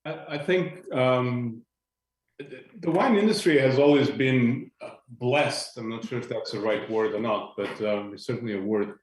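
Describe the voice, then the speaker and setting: A man's voice: medium pitch, moderate pace. A man from Portugal, speaking as a panelist in a video-call discussion, thoughtful and searching for words with a light joking touch. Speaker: industry panelist. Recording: echoey room.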